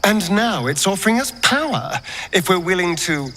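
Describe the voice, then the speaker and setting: A man playing a wary former slave in a video game, with theatrical, emphatic, deliberate delivery. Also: sits high, sing-song, pushed and bright, slight background noise, exaggerated voice. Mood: wary, wry. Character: wary male former slave guarding his regained body. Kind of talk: dramatic game dialogue.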